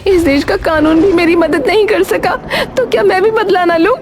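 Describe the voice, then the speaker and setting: A woman's voice: very high-pitched, normal speed, a crowd in the background, pitched above the performer's own voice. A woman playing a scheming, tearful young woman in a cartoon moral story, accusing and self-pitying. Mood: tearful outrage. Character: scheming, wailing young woman nursing a grudge.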